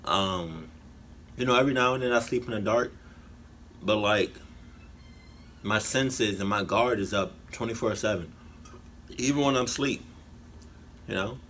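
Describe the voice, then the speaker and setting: A man giving casual, rambling reaction commentary in colloquial slang, haltingly and often repeating his phrases. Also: fairly low pitch, fairly bright tone, slight background noise. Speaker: reaction video host.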